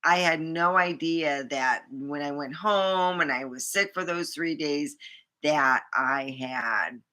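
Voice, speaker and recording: A woman's voice: low voice, takes it slowly, pushed and bright. A woman vlogger chatting casually and rambling, with many fillers and restarts.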